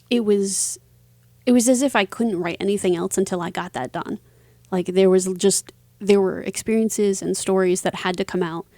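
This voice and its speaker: A woman being interviewed as an author, answering conversationally in a reflective way with halting phrasing. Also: medium pitch, creaky, dark tone, no echo.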